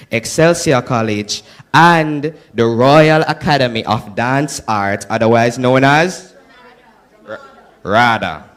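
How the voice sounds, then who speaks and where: A young Jamaican man giving a lively presentation to an audience, often checking in with "right?". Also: medium pitch. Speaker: student presenter.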